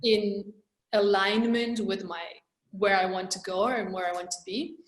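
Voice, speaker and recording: A woman's voice: medium pitch, fairly even intonation, unhurried, echoey room. A young woman from Romania, a mindset coach and guest, talking in a flowing, reflective way and repeating words as she thinks. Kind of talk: casual interview conversation.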